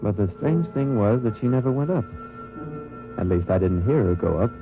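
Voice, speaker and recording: A man playing a cool, watchful undercover agent who narrates his wartime mission in measured, wry radio drama style. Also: lowish voice, lively intonation. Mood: suspenseful, watchful. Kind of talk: 1940s-50s radio drama acting, first-person narration. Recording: muffled old-time radio recording with music.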